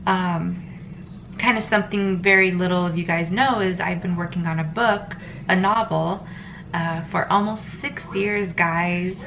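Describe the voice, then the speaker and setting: A woman with a stuffy head cold talking earnestly in a rambling personal vlog, with many pauses and ums. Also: lowish voice, steady tone, fairly bright tone, a little hiss or hum. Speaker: family vlogger. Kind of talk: casual personal vlog monologue.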